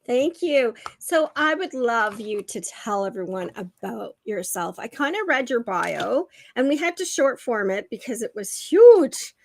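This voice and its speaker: A woman's voice: on the high side, big pitch swings, unhurried, creaky, hardly any pauses. A woman hosting a livestream interview, bubbly and effusive, with frequent ums. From Canada. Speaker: host.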